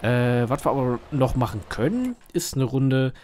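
A man's voice: mid-range voice, very animated, normal speed, a little mellow. A man with a Berlin dialect, casually commenting on a farming game as the channel's host, rambling and thinking aloud with lots of fillers.

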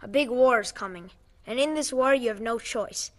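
Woman as Amos, high-voiced earnest young scavenger. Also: moderate pace, lightly acted. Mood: earnest, persuasive. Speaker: actor. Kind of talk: audio drama acting.

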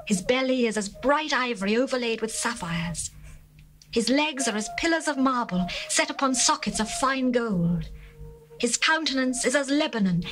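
A woman's voice: on the high side, a bit bright, low-quality recording. A woman playing a lovesick young bride. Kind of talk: dramatized scripture reading.

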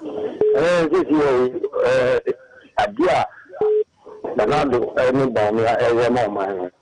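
A man from Ghana calling in to a radio show, speaking haltingly with many hesitations. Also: medium pitch, sing-song, heavy background noise. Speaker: caller. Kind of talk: phoned-in political opinion. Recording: phone line on air.

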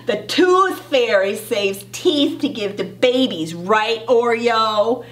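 A woman voicing an ornery young farm boy, a calm, sly know-it-all brother with folksy country talk, in a storybook telling. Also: on the high side, slow, recorded from a distance. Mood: smug.

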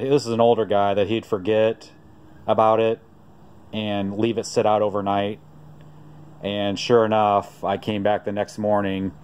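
A man narrating off-camera outdoors while filming a vintage find, telling the story in a relaxed, rambling way with frequent pauses. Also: fairly low pitch, smooth. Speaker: vlogger showing a vintage find. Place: outdoors on a driveway.

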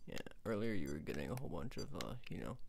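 Male, twenties, ASMR technician roleplay: low, creaky, breathy murmur dropping into whispers, close mic, very noisy recording. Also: very animated. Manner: halting, murmured, personal attention. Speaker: ASMR performer playing an android repair technician. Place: small room at home, indoors. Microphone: close to camera, at arm's length or nearer. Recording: noisy home recording with clicking.

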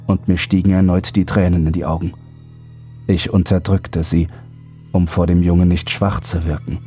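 Deep-voiced man narrating horror. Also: mellow. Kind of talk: dramatic scripted first-person narration.

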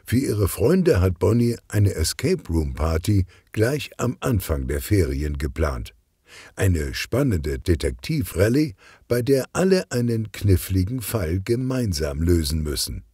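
Deep, husky male storyteller. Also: sing-song, measured pace, dark tone, no echo, studio quality. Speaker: narrator. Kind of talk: expressive scripted children's audiobook narration.

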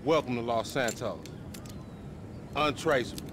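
A man's voice: mid-range voice, a little slow, natural voice. An actor playing a chatty, over-familiar young male street hustler in a video game, rambling eagerly in slang. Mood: friendly, eager. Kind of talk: video game cutscene acting. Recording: clear outdoor traffic ambience.

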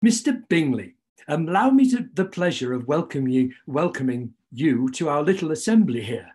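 An older man playing a formal, fussy country gentleman in a period radio play. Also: fairly high pitch, sing-song, normal speed. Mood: welcoming, flustered. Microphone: video call.

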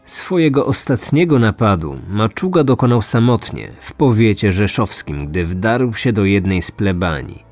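A male true crime narrator with a fairly low voice. He reads a scripted story seriously and with measure, over faint music. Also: very animated, normal speed, hardly any pauses, a little mellow. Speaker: narrator, host.

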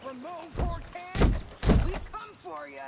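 A woman's voice: very high-pitched, slow, vocal fry, heavy background noise, heavily acted. An actress playing a tough, bossy female bounty hunter in a western video game, blunt and commanding. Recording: music behind the voice.

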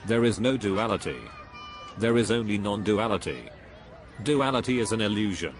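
A man's voice: lowish voice, normal speed, faint music behind, close to an everyday voice. A man playing a pompous, dogmatic spiritual guru in a scripted cartoon dialogue, preachy and dismissive.

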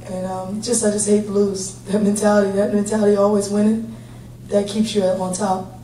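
A woman in her thirties, a head basketball coach, answering reporters at a post-game press conference in a steady, plain-spoken, upbeat way, picked up by a table mic from across a large room. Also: medium pitch, fairly quick. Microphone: table mic, heard from a distant camera. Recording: echoey room, distant mic, faint hum.

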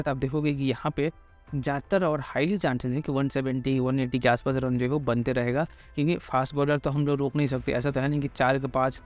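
Fast-talking Indian man explaining cricket. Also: medium pitch, darkish tone. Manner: repetitive, explanatory, addresses listeners directly. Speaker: cricket pitch report presenter. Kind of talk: informal explanatory sports analysis.

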